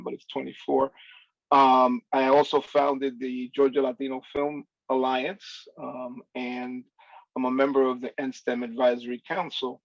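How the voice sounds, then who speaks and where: A man's voice: medium pitch, vocal fry, no echo, highs cut off. A man introducing himself on an online panel in an easygoing way, correcting himself as he goes. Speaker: panelist, nonprofit head.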